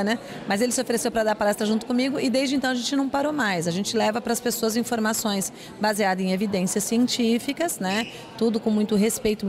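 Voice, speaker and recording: A woman's voice: fairly high pitch, a little mellow. A woman answering an interview in an earnest, explanatory manner in an indoor hall, speaking into a handheld mic. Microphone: handheld reporter mic.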